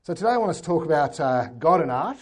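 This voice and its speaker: A man giving an earnest church talk, hoarse today and often trailing off quietly at the ends of sentences. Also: a little high, very animated, fast. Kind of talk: talk with book reading.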